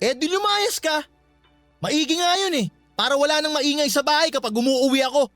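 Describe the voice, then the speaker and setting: High-voiced, callous young Filipino husband. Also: male voice, brisk, a bit bright, no echo, soft background music. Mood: callous, irritated. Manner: curt, dismissive. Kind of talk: Filipino radio drama acting.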